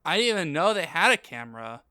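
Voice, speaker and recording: A woman's voice: low voice, big pitch swings, fast, sharp tone, no room sound, clean studio sound, full character voice. A woman voicing a laid-back, flirtatious male goth shop salesperson in a comic story reading, casual and confiding.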